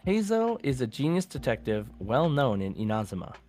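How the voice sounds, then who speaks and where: A man's voice: mid-range voice, big pitch swings, moderate pace, darkish tone. Male quiz podcast host over music. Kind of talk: casual hosting of a quiz show.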